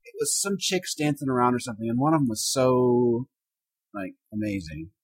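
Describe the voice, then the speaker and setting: Husky guy on a podcast, super animated, gets loud then quiet, just chatting. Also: medium pitch, moderate pace. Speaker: podcast co-host. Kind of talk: casual chat.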